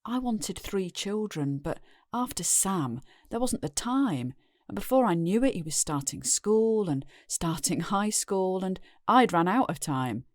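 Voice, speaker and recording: A woman's voice: medium pitch, expressive, a little slow, dry recording, studio quality, natural voice. An actress with a British accent playing a weary, resigned middle-aged wife and mother, confessing plainly in an audio drama. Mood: weary, disappointed. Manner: plain-spoken, world-weary.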